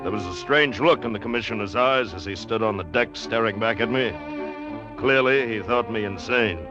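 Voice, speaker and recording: A sardonic, unshakably confident plantation owner played by a male radio actor, speaking on the lower side. He is clipped and commanding in the old radio-drama manner. The vintage recording is muffled, with music behind. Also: normal speed, natural voice. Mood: proud narrating. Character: supremely self-assured, sardonic plantation owner defying danger. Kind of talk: 1940s-50s radio drama acting.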